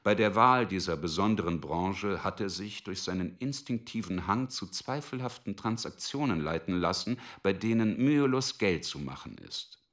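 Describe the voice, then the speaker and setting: A man's voice: low-pitched, a bit bright. A man narrating a radio drama from a script, precise and matter-of-fact.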